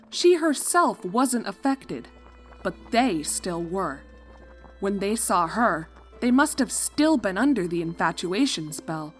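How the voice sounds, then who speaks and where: Woman narrating a story over faint music. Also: medium pitch. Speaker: fan fiction narrator. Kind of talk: expressive scripted story narration.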